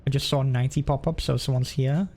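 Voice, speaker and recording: Guy streaming a game, chatty and informal, thinking out loud, normal mid voice. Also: medium pitch, fairly even intonation, fast talker, gravelly. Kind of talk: casual live gameplay commentary.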